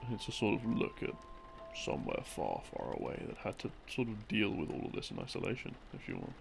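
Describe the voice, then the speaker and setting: Man, gravelly fry, swooping intonation, halting intimate storytelling, musing, rambling boyfriend roleplay. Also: on the low side, wildly swooping pitch. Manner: halting, musing delivery with trailing thoughts. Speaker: audio roleplay performer playing a boyfriend. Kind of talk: rambling, improvised intimate storytelling of game lore. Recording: music behind the voice. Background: slow game theme music.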